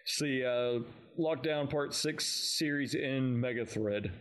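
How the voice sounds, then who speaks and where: Regular mid-range dude chatting on a fan podcast, kinda self-deprecating. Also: male voice, mid-range voice, slow. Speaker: podcast co-host. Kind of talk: casual chat, reading listener feedback.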